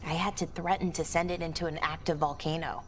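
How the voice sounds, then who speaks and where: A woman voicing an earnest, wistful young elven woman in a video game, speaking reflectively with gentle hesitations. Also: sits low, steady tone, fairly quick, a little hiss or hum, lightly acted. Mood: earnest, lightly wry. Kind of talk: video game dialogue acting. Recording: music under the voice.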